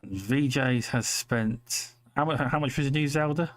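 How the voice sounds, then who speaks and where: A man's voice: medium pitch, normal speed. A British man talking casually about games with friends, often repeating his phrases. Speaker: gamer on a podcast.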